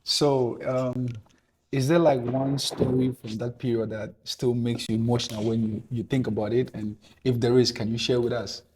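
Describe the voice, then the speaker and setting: A man hosting an interview, asking gentle questions and often saying "right, right.". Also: medium pitch, fairly even intonation, normal speed, background noise. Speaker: host.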